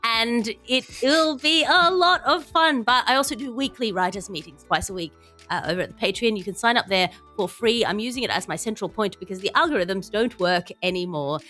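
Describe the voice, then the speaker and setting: A woman's voice: a little high, a little fast, sharp tone, faint music behind. A woman hosting a comedy podcast in a wry, wordy, playful way. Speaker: podcast host.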